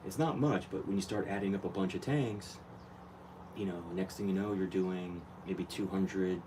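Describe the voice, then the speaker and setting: A man with a very low, quiet voice talks loosely through his thoughts, trailing off and restarting. He sounds set back from a phone mic, with steady background noise in a room at home. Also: normal speed, far from the mic. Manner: quiet, rambling, many fillers and restarts. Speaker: aquarium hobbyist vlogger. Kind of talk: casual talk while working on a tank. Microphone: phone mic, off camera.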